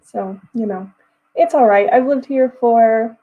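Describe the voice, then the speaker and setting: A woman's voice: a little high, measured pace. Chatty young woman livestreaming, muffled mic. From Texas. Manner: chatty, reads chat messages aloud and answers them. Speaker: livestream host. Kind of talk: casual livestream chat.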